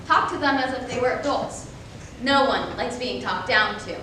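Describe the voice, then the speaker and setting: High-voiced young woman presenting, very echoey room. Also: moderate pace, sharp tone. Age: young adult. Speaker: college speech competitor. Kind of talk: scripted readers theater presentation. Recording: very echoey room, distant mic, faint noise.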